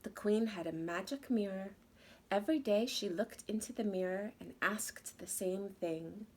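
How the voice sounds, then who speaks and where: A woman's voice: medium pitch, a little slow, a little mellow, breathy edge. A woman reading a children's story aloud expressively, as if to young listeners. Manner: addresses young listeners with questions. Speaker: storybook reader.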